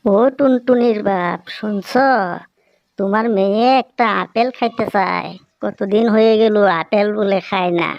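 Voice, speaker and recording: A woman's voice: medium pitch, fairly even intonation, measured pace, vocal fry, dry recording, muffled recording. Woman as a caring, coaxing mother bird. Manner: coaxing, homely. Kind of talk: Bengali cartoon character dialogue.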